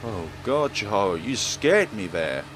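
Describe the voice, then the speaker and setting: A man voicing a frightened, pleading high-school student in a visual novel, urgent and desperate. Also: sing-song, unhurried, background noise. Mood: alarmed. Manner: urgent, emphatic, stammering under shock. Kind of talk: dramatic visual novel voice acting.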